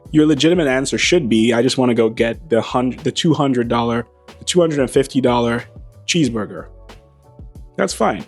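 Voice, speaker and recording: A man hosting an aviation show, talking casually and wryly, a little hoarse from losing his voice, recorded beside a plane in a hangar on a small wireless mic. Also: mid-range voice. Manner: wry, playful, lively delivery. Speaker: aviation channel host. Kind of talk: casual monologue to camera.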